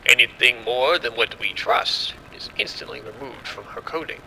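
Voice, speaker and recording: Man acting as confident scientist, very bright sharp voice. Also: medium pitch, moderate pace, vocal fry, slight background noise, low-quality recording. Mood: assured, proud. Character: confident male scientist running a secret military experiment. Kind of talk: audio drama acting.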